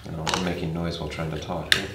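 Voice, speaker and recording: A man's voice: rumbling low, level tone, fast talker. A man hosting a paranormal investigation on location, talking casually and calling out into the room. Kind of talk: casual narration and calling out to spirits during a live investigation. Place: indoors in an old house. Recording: very echoey room, distant mic, faint noise.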